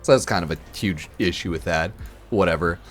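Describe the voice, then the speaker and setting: A man's voice: sits low, fairly quick. A slightly drunk man giving casual, rambling game commentary as a streamer, blunt, profane and opinionated. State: a bit drunk. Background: music under the voice.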